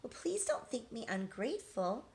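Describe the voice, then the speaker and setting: Storybook butterfly, male, carefree and matter-of-fact, highish voice, read by a woman. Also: very animated, normal speed, a little mellow. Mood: polite, explaining.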